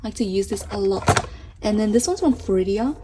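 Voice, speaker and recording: A woman vlogger chatting casually through a makeup review, enthusiastic and full of fillers, her voice getting hoarse. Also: mid-range voice, expressive, normal speed, background noise. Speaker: beauty vlogger.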